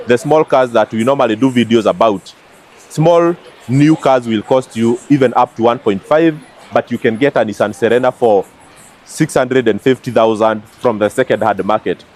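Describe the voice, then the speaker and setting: A man's voice: mid-range voice, darkish tone, slight background noise. A man presenting his vlog outdoors beside a car, talking chattily with many fillers. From Kenya. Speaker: vlog host.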